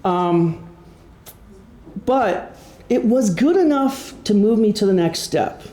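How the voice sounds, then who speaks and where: A man giving an informal talk about his own creative work, speaking in a halting, self-deprecating, conversational way before an audience. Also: sits high, animated, warm tone. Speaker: author and musician giving a presentation. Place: indoor room before an audience. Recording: roomy live presentation sound.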